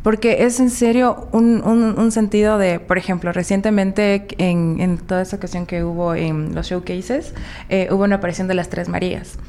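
A woman's voice: medium pitch, steady tone, moderate pace, mellow. Ecuadorian woman chatting over background noise. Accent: Ecuadorian Spanish. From Valle del Chota, Ecuador. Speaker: interview guest, musician. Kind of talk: casual conversational storytelling.

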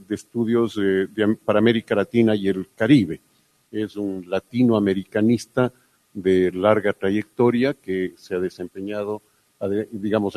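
Man, lowish voice, formal conference introduction, halting with many fillers. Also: mellow. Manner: hesitant, frequent fillers and restarts. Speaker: conference moderator introducing a speaker.

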